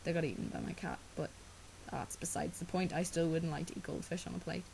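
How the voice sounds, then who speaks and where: A woman's voice: sits low, fairly quick, vocal fry, a little mellow, slight background noise, rough recording. A teenage girl with an Irish accent, whose first language is Irish, chatting casually to camera. She rambles with lots of ums and likes and has a sore throat from a cough. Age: teens. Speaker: vlogger. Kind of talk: casual chat.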